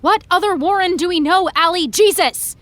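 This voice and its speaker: A woman's voice: extremely high pitch, normal speed, a bit bright, no echo. An actress playing an upset, indignant young female fan in an audio drama, emphatic and sarcastic with her friend. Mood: distraught. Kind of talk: naturalistic audio drama acting.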